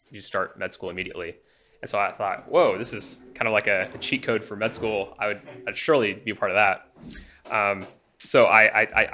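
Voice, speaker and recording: A young man from Alabama in the United States giving a personal testimony, often halting and restarting his phrases. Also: on the low side, sharp tone, slight background noise. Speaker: church member giving testimony.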